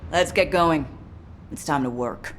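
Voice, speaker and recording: A low-pitched woman soldier from a video game. She is blunt, weary and defiant, set on revenge. Faint noise sits behind the voice. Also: unhurried. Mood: exasperated. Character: defiant, revenge-hungry female soldier with new augmentation. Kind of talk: dramatic video game voice acting.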